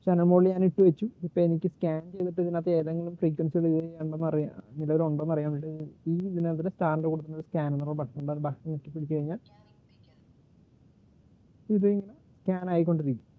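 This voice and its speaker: A man giving a casual, unscripted gadget walkthrough in a halting way, searching for words and correcting himself. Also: fairly high pitch, little pitch movement. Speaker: gadget reviewer and demonstrator. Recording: muffled phone-like narrow-band sound.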